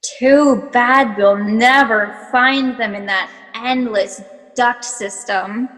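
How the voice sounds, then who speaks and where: A woman's voice: on the high side, takes it slowly, fairly bright tone, big-room sound, rough recording. A woman playing a bored, haughty, sardonic shapeshifting bird in a sci-fi satire audio drama. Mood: flippant.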